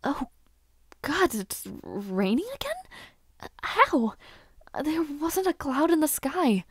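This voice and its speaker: Girl voice actor doing a shy young noble lady knight, soft n hesitant, slow talker, audio roleplay. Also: high-pitched, big pitch swings, heavily acted. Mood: alarmed, urgent. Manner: soft, hesitant, halting speech. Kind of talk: intimate audio roleplay acting.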